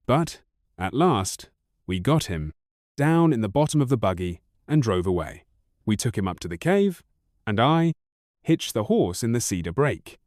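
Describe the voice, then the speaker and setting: A man narrating an audiobook story in a wry, expressive first-person manner, recorded close on a studio mic. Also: mid-range voice, dry recording.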